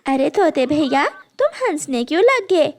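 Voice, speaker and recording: A little female bird from an animated fable, played by a woman. She is hardworking and indignant, with a high, swooping voice and an earnest, scolding manner. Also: measured pace. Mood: friendly.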